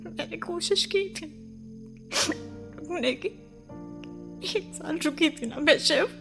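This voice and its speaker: A high-pitched young wife in a radio play, wounded and insistent. She speaks with raw, confrontational intensity and a pleading edge. Soft music sits behind her. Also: takes it slowly, exaggerated voice. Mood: tearful, pleading. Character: hurt, insistent young wife, once widowed, pleading for love. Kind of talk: Hindi radio drama acting.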